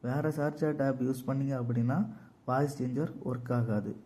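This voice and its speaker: A man's voice: mid-range voice, steady tone, moderate pace, mellow. A man narrating a step-by-step app tutorial in a plain, informal, instructional way. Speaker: tutorial host.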